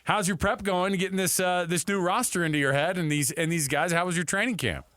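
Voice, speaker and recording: A man's voice: high-pitched, a little fast, fairly bright tone, dry recording. A man hosting a casual sports radio talk show and putting questions to a guest. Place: dry radio studio.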